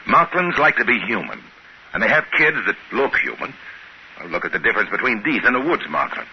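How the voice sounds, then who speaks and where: A man's voice: mid-range voice, sing-song, a little fast. An actor playing a shrewd, suspicious trading-post man speaking in a conspiratorial way in a 1950s radio drama. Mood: scheming, uneasy. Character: shrewd, suspicious male trading-post partner hatching a plan. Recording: hissy, muffled 1950s radio recording.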